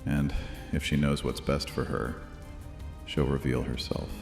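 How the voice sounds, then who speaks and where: An actor playing a menacing, mocking vampire high priest in an audio drama, speaking in a taunting, theatrical, deliberate way. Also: male voice, flat delivery, creaky, a little mellow, heavily acted. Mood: cold menace. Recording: long hall reverb, music underneath.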